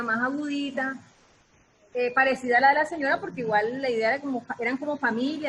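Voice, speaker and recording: High-pitched woman, expressive. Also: a little hiss or hum, poor audio. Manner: expressive, searching for words, repeating herself. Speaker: radio theatre actress. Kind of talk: casual talk about creative process.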